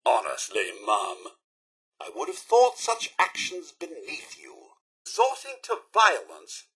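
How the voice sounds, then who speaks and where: Game robot butler: British, prim and sarcastic, raspy edge, swooping theatrical delivery. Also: male voice, fairly high pitch, huge pitch swings, slow, pushed and bright, heavily acted. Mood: disapproving, sarcastic. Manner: theatrical, polite, primly disapproving butler diction. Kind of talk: video game companion voice lines.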